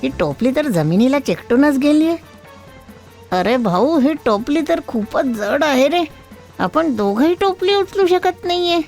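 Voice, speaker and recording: Bossy bullying bazaar merchant for a cartoon fable, a woman acting him; high-pitched, blustering, over music. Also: very animated, measured pace, darkish tone, exaggerated voice. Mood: straining, annoyed. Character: bullying male market traders, loud and threatening.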